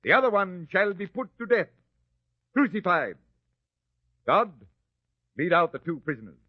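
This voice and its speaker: Haughty governor, lively delivery, dry dull radio drama sound. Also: male voice, high-pitched, moderate pace, no room sound. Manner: haughty and condescending, then hesitant. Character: proud, uneasy Roman governor. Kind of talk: old-time radio drama acting.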